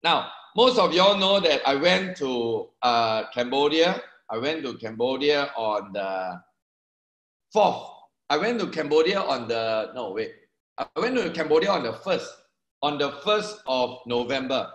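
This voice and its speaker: A man's voice: mid-range voice, animated, a little slow, fairly bright tone. A man in his forties giving an emphatic, repetitive motivational seminar talk to a live audience, explaining points as he draws them on a board. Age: 40s. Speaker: network marketing speaker. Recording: echoey room.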